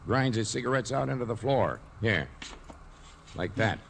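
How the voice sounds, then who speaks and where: An actor playing a blunt, dry American police lieutenant in a 1940s radio drama, terse and matter-of-fact. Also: male voice, medium pitch, animated, normal speed, gravelly. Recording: old radio recording with background hiss.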